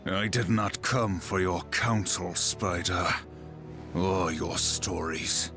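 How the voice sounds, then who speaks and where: A man's voice: low voice, steady tone, slow, over music. An actor playing a stern, vengeful horseman in a video game, terse and commanding. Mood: contemptuous. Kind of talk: scripted video game hero dialogue.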